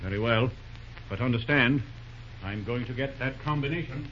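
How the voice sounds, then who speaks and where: An actor playing a stern, pompous middle-aged railroad president and disapproving father in an old-time radio drama, formal and halting. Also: mid-range voice, slight background noise, lightly acted. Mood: angry, threatening. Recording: dull old radio show recording.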